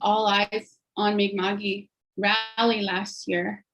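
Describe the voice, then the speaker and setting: A woman's voice: medium pitch, flat delivery, slow, fairly bright tone, lo-fi sound. A woman giving an earnest personal account at an online panel, talking conversationally over a video call. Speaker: guest speaker.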